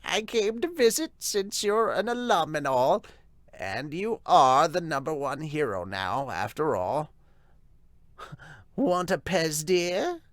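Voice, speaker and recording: A man's voice: on the high side, sing-song, slow pace, fairly bright tone, no room sound, voice pushed up, heavily acted. A man voicing a doting, grandmotherly old woman who works as a school nurse. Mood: kindly.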